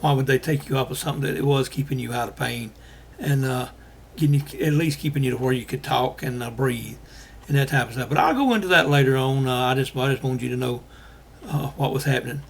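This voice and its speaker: An older man from Alabama, hosting a casual monologue to viewers, talking in a halting way with many fillers while he is losing his voice. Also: medium pitch, vocal fry, husky. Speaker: host.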